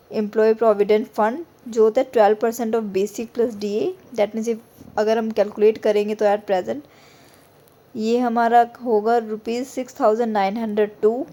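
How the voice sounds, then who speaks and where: Slow, mellow-voiced woman explaining. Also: a little high. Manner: slow, measured, reads figures step by step. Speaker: exam prep presenter. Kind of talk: explainer lesson mixing Hindi and English.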